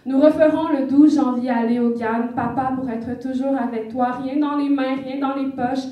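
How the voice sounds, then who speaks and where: A woman reading poetry quietly and earnestly on a stage. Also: high voice, steady tone, moderate pace. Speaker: poetry reader. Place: theatre stage with red curtain. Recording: dull, echoey hall from far off.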